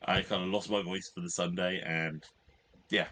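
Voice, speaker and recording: A hoarse man with a British accent in a casual hobby chat, halting and jokey as his voice recovers. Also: deep voice, little pitch movement, sharp tone. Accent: British English. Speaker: podcast co-host.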